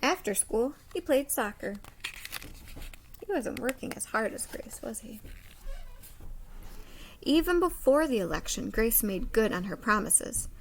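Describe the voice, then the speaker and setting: A woman reading a picture book aloud to young children like a teacher, expressively, with dramatic pauses and simple asides. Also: on the high side, animated, slight background noise, rough recording.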